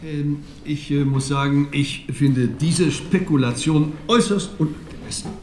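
A man's voice: a little high, a little slow, close to an everyday voice. An actor playing a solemn, defensive football club spokesman speaking formally to the press in a TV drama. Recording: echoey conference room, clear background noise.

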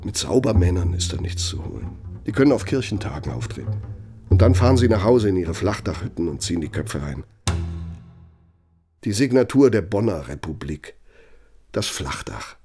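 An actor playing a cynical, bitter middle-aged architect and old Nazi functionary in a German radio play, gravelly, with a pointed, self-justifying delivery. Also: male voice, sits low, darkish tone, full character voice. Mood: bitter, sardonic. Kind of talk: German radio play acting, dramatic monologue.

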